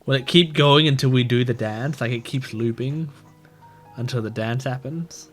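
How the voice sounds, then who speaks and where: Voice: mid-range voice, moderate pace, soft background music. A gamer giving casual, reflective gameplay commentary and thinking aloud. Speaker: gamer reacting on a let's play channel.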